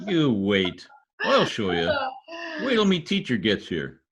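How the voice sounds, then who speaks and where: An actor playing a cocky, bumbling husband with folksy slang, in old-time radio comedy style. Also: male voice, fairly low pitch, wildly swooping pitch, measured pace, a bit bright, full character voice. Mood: stubborn bluster. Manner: folksy slangy speech, blustering. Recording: husky-sounding video call recording with music.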